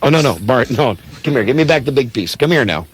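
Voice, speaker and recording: A man's voice: medium pitch, animated, fast talker. A man hosting a radio show in casual, joking, teasing chat.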